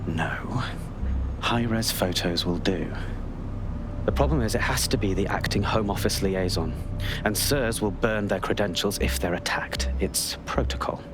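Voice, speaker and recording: An actor playing a wary, secretive intelligence analyst in a video game cutscene, speaking in a measured but urgent, guarded way. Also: male voice, lowish voice, background noise, close to an everyday voice. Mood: discreet, uneasy. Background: music.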